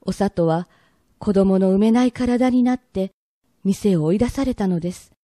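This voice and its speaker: A woman narrating a period radio drama in a formal, measured, old-fashioned storytelling manner. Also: medium pitch, warm tone, no room sound, studio quality.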